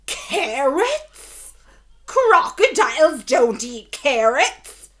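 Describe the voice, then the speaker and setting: A woman voicing a fierce male crocodile in a storybook, with loud, emphatic declarations. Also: high-pitched, big pitch swings, extremely slow, voice pushed up, full character voice. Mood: fierce, scornful. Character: fierce male crocodile demanding his dinner.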